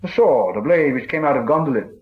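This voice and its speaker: A man's voice: mid-range voice, animated, brisk, phone-quality sound, pitched above the performer's own voice, natural voice. A man voicing a frightened, polite small hobbit who is anxious to agree.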